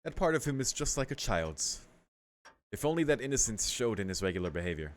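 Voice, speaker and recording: A man's voice: mid-range voice, very animated, fairly quick. A man playing a visual novel, reading its text aloud with casual, sweary commentary and muttered asides. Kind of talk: casual gaming commentary mixed with read-aloud narration.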